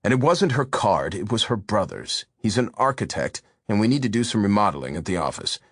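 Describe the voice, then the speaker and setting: A man's voice: on the low side, big pitch swings, fairly quick, breathy edge, no echo, studio quality, heavily acted. A man voicing a younger, easygoing boyfriend who pleads and soothes in a storybook reading. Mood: placating.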